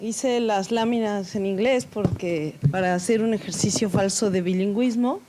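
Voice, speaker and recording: A woman speaking earnestly at an academic conference panel, halting and full of fillers, openly nervous. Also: mid-range voice, background noise. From Mexico. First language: Spanish.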